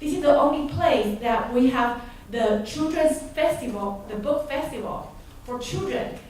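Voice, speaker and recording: A woman who grew up in Argentina gives an earnest, halting public comment at a town meeting, restarting her sentences often. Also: high voice, animated, darkish tone. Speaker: resident speaking at a public hearing. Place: large echoey meeting hall. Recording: echoey hall, distant mic, some noise.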